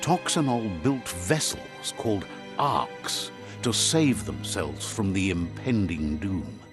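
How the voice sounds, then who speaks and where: A man narrating a game's story calmly, like a storybook, and relaying what a creature says. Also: deep voice, slow. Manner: calm storyteller, translating a creature's speech. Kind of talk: scripted storybook narration for a game. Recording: game audio with faint music behind.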